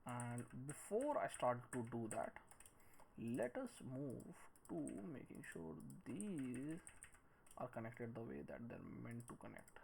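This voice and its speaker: A man's voice: medium pitch, big pitch swings, creaky, a little mellow. Man thinking aloud, noisy background. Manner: halting, musing aloud while working. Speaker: 3D modelling tutorial presenter. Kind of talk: screen-recorded tutorial narration, thinking aloud. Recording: noisy room with clicks and typing.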